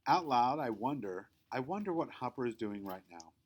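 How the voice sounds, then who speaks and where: A man reading a children's story aloud as narrator, in an expressive, emphatic read-aloud style. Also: mid-range voice, normal speed. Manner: animated, emphatic. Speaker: storybook reader.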